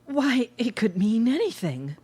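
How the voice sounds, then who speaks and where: An actress playing a tactful but frank wife in a live radio play, with halting, amused delivery. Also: female voice, fairly high pitch, big pitch swings, darkish tone, breathy edge, exaggerated voice. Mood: hesitant.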